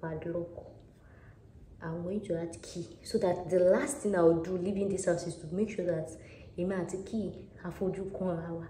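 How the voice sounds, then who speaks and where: A woman's voice: on the low side, steady tone, normal speed, mellow. A young Nigerian woman with a Nigerian accent, chatting to viewers while packing and dropping in Yoruba phrases, filmed on a handheld camera. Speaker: vlogger. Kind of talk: casual vlog chat. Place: large empty house with tiled floors, indoors. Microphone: handheld camera at arm's length. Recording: echoey empty room with clear noise.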